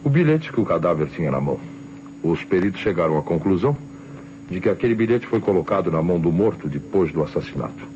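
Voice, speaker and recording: An actor playing a shrewd, sceptical police inspector in an old radio crime drama, deliberate and authoritative. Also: male voice, low-pitched, sing-song, darkish tone. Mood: probing. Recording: muffled, noisy vintage radio recording.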